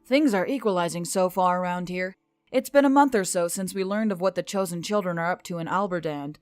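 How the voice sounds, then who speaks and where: A woman acting as a female army officer in an audio story. Her voice is smooth and clear, recorded close and dry, and she reports in a measured, businesslike way. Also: fairly low pitch, no room sound, soft background music, close to an everyday voice. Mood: matter-of-fact. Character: female military officer briefing, Gremlin and Chick, matter-of-fact. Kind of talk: scripted audio story dialogue.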